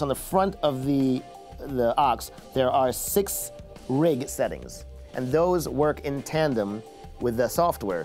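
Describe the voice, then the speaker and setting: A man's voice: mid-range voice, a little mellow. A man, hosting a gear review in a conversational way. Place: small acoustically treated studio, indoors.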